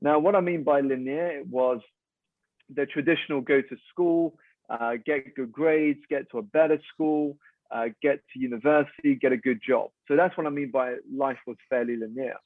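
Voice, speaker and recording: A man's voice: mid-range voice, fairly even intonation, dry recording. Man on a tinny dry line. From United Kingdom. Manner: measured, articulate, reflective. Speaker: guest, keynote speaker and coach. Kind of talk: calm interview storytelling.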